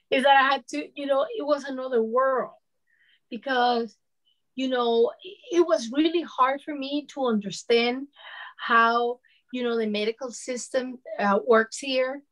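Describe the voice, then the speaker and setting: A woman's voice: fairly high pitch, rough recording. A woman in her fifties from Venezuela with a Venezuelan Spanish accent, speaking conversationally as a panelist about personal experience, often saying "you know". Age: 50s. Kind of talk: personal account on a discussion panel.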